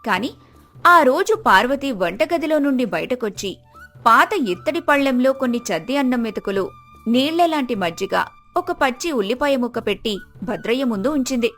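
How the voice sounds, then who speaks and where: A woman's voice: high voice, lively intonation, moderate pace, a bit bright, faint music behind. A woman reading a scripted moral story as its narrator.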